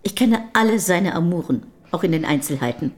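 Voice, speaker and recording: An actress playing a curt, possessive older wife of a famous poet in a German radio drama, speaking in a clipped, dry, formal way. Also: female voice, on the low side, expressive, a little fast, natural voice. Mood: cool, dry.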